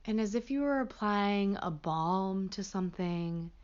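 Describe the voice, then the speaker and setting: A woman leading a guided meditation as a teacher, gentle and hushed, with pauses between short phrases. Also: mid-range voice, lively intonation, clear, resonant tone, lo-fi sound.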